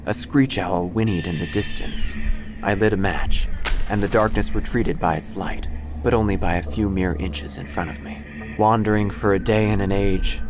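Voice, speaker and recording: A man narrating a scripted first-person story in a theatrical, wry manner with deliberate dramatic phrasing. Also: on the low side. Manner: theatrical, wry, deliberate pauses, drops to hushed tones. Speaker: narrator, obituary writer character. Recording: music bed behind the voice. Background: background music.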